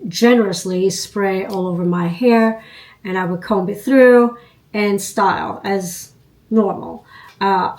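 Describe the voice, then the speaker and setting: A woman in her fifties getting over a cold, nasal and congested, chatting casually to her viewers about her routine. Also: medium pitch. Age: 50s. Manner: unhurried, chatty, frequent ums and you knows. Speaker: beauty vlogger. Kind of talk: casual chat to camera.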